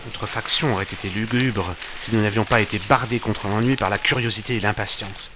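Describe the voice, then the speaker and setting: A man playing an earnest, wry detective's companion who narrates an audio drama in measured, literary storytelling. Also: on the low side, steady tone, background noise, close to an everyday voice. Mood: measured, atmospheric. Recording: music under the voice. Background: music.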